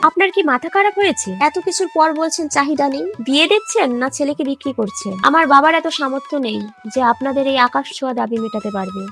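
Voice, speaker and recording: A woman's voice: high voice, quick pace, few pauses, fairly bright tone, soft background music. A woman voicing an outspoken, principled young village daughter in a cartoon, earnest and forthright. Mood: indignant. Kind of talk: Bengali moral-story cartoon dialogue.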